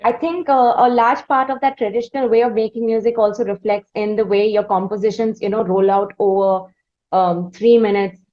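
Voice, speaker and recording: A woman's voice: mid-range voice, steady tone, normal speed. A woman with an Indian accent asks questions as a podcast interviewer, phrasing them in a hesitant, roundabout way. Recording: slightly dull, lo-fi audio.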